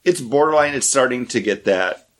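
A man hosting a podcast, talking in a relaxed, rambling way. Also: mid-range voice, moderate pace. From lives in Orlando area. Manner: relaxed, rambling, talks through beer and theme parks. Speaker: podcast host. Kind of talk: casual podcast chat.

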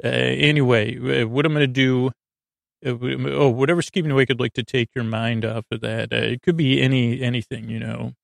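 A man with a creaky voice hosting a sleep podcast in a meandering, halting, lulling monologue full of digressions. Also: mid-range voice, steady tone, dry recording, studio quality. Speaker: podcast host.

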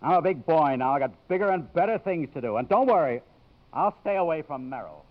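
A man plays a wry, wounded suitor in a 1950s radio play. His slangy, sardonic talk swings freely in pitch. The old broadcast sound is muffled. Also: animated, a little fast, noisy background, natural voice. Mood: bitter. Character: brash wisecracking spurned male suitor, wry and wounded.

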